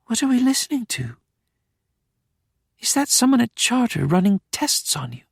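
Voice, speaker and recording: A man voicing a worried young woman asking quick, puzzled questions. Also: very high-pitched, big pitch swings, gravelly, breathy edge, no echo, studio quality, pitched above the performer's own voice, full character voice.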